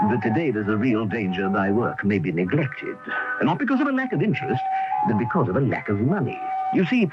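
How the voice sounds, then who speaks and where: An old, muffled radio spot features a wry Elizabethan playwright. He pleads with earnest, mock-dramatic flourish. His pitch leaps about in a lively way. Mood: wry appeal, then earnest concern. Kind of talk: scripted comic public service radio ad. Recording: band-limited old 1980 radio broadcast.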